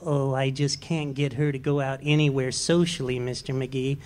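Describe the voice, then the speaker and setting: An actor playing a meek, henpecked middle-aged husband in a live radio play, speaking softly and hesitantly. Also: male voice, mid-range voice, level tone, moderate pace, natural voice. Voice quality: soft, mild and hesitant. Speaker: amateur actor.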